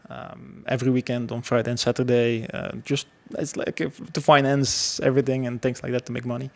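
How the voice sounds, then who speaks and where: A man talks as a guest on an interview podcast. His voice has a marked creaky fry, and he tells his story casually, with a halting, hesitant delivery. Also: medium pitch, level tone, darkish tone. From Belgium. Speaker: podcast guest, security professional.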